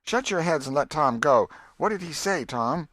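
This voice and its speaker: An excitable old aunt from the rural American South, acted out by a male audiobook reader, raised in pitch and emphatic. She is pious, gullible and fond, exclaiming and scolding in folksy country talk. The sound is close and dry. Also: normal speed, no room sound. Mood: excited, insistent. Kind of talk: audiobook character voice.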